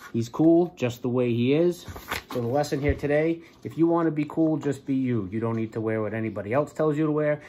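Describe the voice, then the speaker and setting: A man in his forties, a police lieutenant, reading a storybook and speaking to schoolchildren in a plain, deliberate way. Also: mid-range voice, mellow, slight background noise. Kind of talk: children's storybook read-aloud and address to pupils. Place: small office, indoors.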